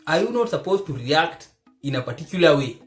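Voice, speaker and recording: Hushed, earnest man. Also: fairly high pitch, very animated, fairly quick, faint music behind. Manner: earnest and preachy, repeats phrases for emphasis; speaks in a hushed voice because he records at night. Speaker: vlogger. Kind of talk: impassioned commentary to camera. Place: indoors at home at night.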